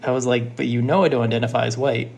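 A man guest telling his story casually, quick and emphatic, acting out quoted lines. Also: medium pitch, steady tone, gravelly, a little mellow, a little hiss or hum. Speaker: listener guest.

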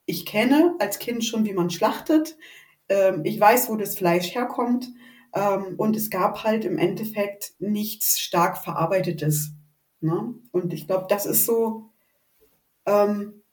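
A woman's voice: mid-range voice, darkish tone. A woman in her forties with a northern German accent, chatting hesitantly as she tells her own story as a podcast guest. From Rostock, northern Germany. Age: 40s (48). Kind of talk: personal story in a casual interview. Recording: echoey room, distant from the mic.

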